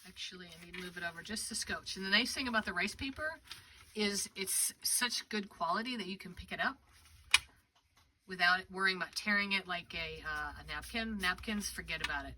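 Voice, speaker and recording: Woman crafter, congested, chatty running commentary while working; mic set back in the room, steady background noise. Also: on the low side, brisk, distant mic. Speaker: craft demonstrator. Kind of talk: live craft tutorial.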